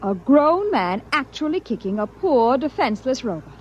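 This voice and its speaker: A woman's voice: high voice, big pitch swings, moderate pace, muffled recording, music in the background. An American woman playing a fussy, fretful housewife and mother who coaxes and scolds, in a 1950s radio drama. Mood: scolding. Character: fretful, nagging housewife and mother, flustered but devoted. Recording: noisy vintage 1950s radio broadcast.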